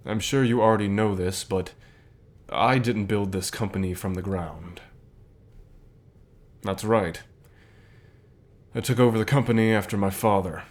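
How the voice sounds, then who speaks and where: A man playing a calm, reassuring company boss with gentle authority, speaking softly in measured phrases for an ASMR roleplay. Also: fairly low pitch, lightly acted. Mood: patient, explaining. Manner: measured, soft-spoken, deliberate pauses. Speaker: voice actor in an audio roleplay.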